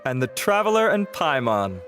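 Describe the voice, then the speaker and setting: Formal, dutiful officer over music. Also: male voice, fairly high pitch, sing-song, normal speed. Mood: respectful. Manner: formal, respectful. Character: formal, dutiful male officer reporting findings. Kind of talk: animated video game voice acting.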